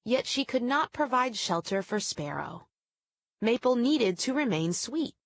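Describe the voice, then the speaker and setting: A woman storyteller reading a scripted children's story aloud in deliberate, measured phrases. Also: on the high side, very animated, gravelly, no echo.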